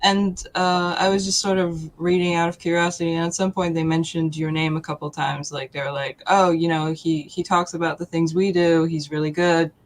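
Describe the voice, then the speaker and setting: A woman, answering casually and haltingly as a talk show guest. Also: deep voice, level tone, a little hiss or hum. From Poland. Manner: hesitant, halting.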